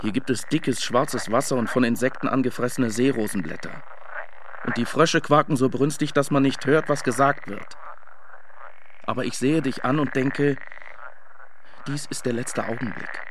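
A man acting a proud young count in love with a queen, in a radio drama. His mid-range voice is intense and deliberate, with slight noise around it. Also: medium pitch, a bit bright, lightly acted. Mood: brooding. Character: lovesick, proud young nobleman suitor to a queen. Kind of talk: German radio play acting.

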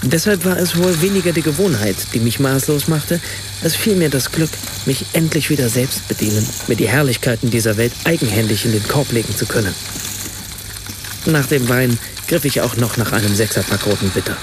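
A man's voice: medium pitch, a little fast, noisy background, natural voice. An actor playing a reflective German writer and father, narrating his own everyday story in a scripted radio play. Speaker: first-person narrator.

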